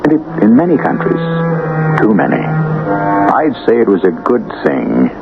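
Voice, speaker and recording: A male host narrating a radio drama in a measured, theatrical storytelling manner. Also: lowish voice, huge pitch swings, gravelly. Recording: tinny old 1970s radio broadcast. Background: loud background music.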